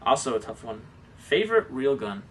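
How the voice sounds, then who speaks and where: A man's voice: mid-range voice, big pitch swings, moderate pace. An American man chatting casually as a podcast co-host. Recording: distant mic, background noise.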